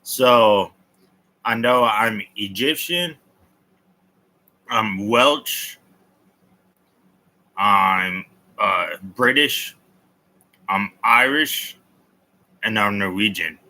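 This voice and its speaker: Low, sharp-voiced man rambling on a livestream. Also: drawn-out words, long pauses, low-quality recording. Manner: rambling, reads and answers viewer comments; opinionated, blunt. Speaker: livestream host. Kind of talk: casual livestream chat.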